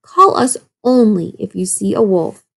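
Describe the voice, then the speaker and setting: A woman's voice: medium pitch, a little slow, creaky, a bit bright, slightly rough, heavily acted. A woman voicing agitated, winded villagers with an urgent, scolding manner in a storybook read-aloud. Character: agitated, winded villagers chasing after a wolf.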